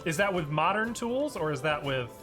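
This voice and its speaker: A man's voice: fairly high pitch, animated, moderate pace. A man acting as game master, improvising and talking casually with the players at a tabletop game. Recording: music playing behind the voice. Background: music.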